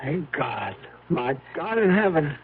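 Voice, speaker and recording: An actor playing an emotional farmer husband in a 1970s radio drama, halting at times. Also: male voice, a little high, very animated, phone-quality sound, slight background noise. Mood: awestruck. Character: farmer husband, dazed then wildly overjoyed at healing. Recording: band-limited old radio broadcast.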